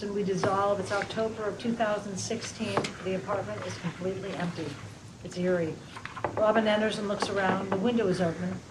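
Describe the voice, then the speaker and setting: A woman reading stage directions aloud at a sitcom table read, in a plain, even manner. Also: mid-range voice. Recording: distant mic, noisy room.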